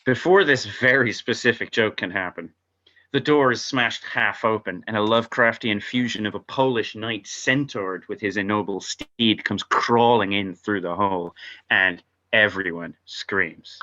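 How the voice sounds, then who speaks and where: Low, forceful man, dull lo-fi audio. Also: unhurried. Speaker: narrator reading stage directions. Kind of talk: scripted narration at an informal table read.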